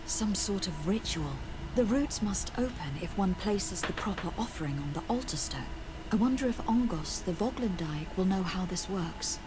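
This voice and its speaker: A determined young-spirited diplomat and adventurer voiced by a woman in a video game. Her medium-pitched voice is courteous, formal and articulate, with clear ambient noise behind it. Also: lively intonation, moderate pace, natural voice. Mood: musing. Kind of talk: video game character dialogue.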